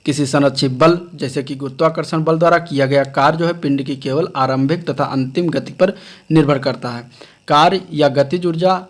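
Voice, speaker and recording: A man's voice: a little high, steady tone, moderate pace. A man teaching science for exam preparation, reading from a textbook and explaining it in an instructional way with frequent check phrases. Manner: instructional, often adding a check phrase after points. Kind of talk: lecture read from a textbook with explanations.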